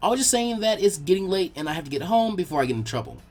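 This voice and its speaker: A man's voice: sits high, big pitch swings, very fast, darkish tone. A man voicing a polite, nerdy teenage girl tutor who explains things in an encouraging, slightly awkward way.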